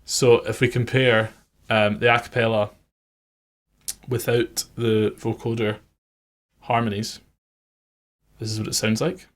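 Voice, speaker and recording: A man with a fairly low voice talks through a music production tutorial. He explains things informally and conversationally, like a producer showing how the work was done. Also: flat delivery, a little slow, long pauses.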